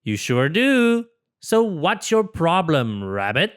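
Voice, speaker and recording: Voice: medium pitch, very animated, slow pace, clean tone. A storybook reader voicing a cheerful, silly, overconfident male porcupine with a breezy, theatrical manner. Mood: confident, upbeat. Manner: breezy, theatrical, self-assured delivery.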